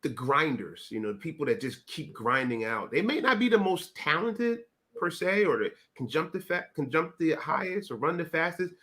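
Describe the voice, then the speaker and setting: A man's voice: a little high, big pitch swings, normal speed, hardly any pauses, a bit bright. Casual rambling male interview host. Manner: casual, rambling, filler-heavy.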